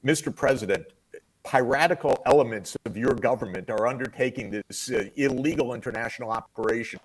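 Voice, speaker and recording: A man explaining history at length in a measured, lecturing way, heard in a played clip. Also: medium pitch, husky, rough recording. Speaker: commentator in a played interview clip.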